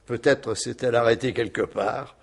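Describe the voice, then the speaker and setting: An actor in a French radio mystery play, playing a wry, nonchalant husband who evasively shrugs off suspicion. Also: male voice, mid-range voice, steady tone, normal speed, vocal fry. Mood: ironic, unbothered. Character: wry, unruffled husband suspected over his missing wife.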